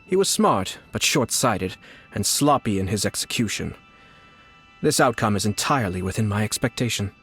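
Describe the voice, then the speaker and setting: A man acting a composed, shrewd young noble clan head in a fantasy game, speaking in a measured, courtly, formal way. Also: fairly low pitch, expressive, a bit bright, slightly rough, full character voice. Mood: calm, calculating. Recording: background music under the voice.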